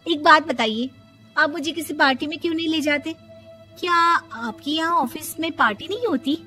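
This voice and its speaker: A woman's voice: high-pitched, normal speed, natural voice. A woman voicing a cheeky, self-assured young Indian daughter-in-law in a cartoon moral story, pert and argumentative. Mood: questioning. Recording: music under the voice.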